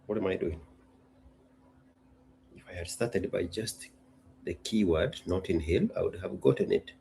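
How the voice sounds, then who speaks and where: A man's voice: sits low, unhurried, gravelly, dark tone, a little hiss or hum. A man from Kenya hosting a casual talk, speaking emphatically in short, punchy sentences and repeating his phrases. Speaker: host. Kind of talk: casual solo talk to an audience.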